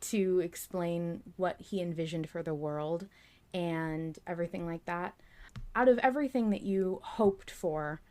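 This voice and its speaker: A woman hosting a fan discussion podcast in a casual, thoughtful, measured way. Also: lowish voice, darkish tone. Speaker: podcast host.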